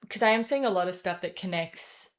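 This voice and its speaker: A woman's voice: fairly low pitch, brisk. Rambling, swearing young woman commentator. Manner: rambling, swearing, self-correcting asides. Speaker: political commentator and host. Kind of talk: casual opinion commentary to camera.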